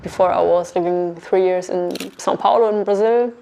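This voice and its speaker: Mellow-voiced young woman, candid and tentative. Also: lowish voice, a little fast, vocal fry, a little hiss or hum. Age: young adult. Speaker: coding bootcamp student. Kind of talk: personal documentary interview.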